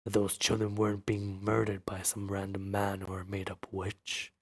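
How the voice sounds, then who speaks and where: Man voicing an ominous low-voiced town alderman. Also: completely flat delivery, normal speed. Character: grim male town alderman, ominous and secretive. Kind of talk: amateur voice-over of game dialogue.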